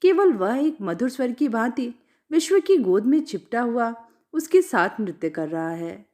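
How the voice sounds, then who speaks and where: A woman with a high voice tells a literary story. Her pitch moves widely as she narrates, giving the reading an animated, expressive delivery. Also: a little slow.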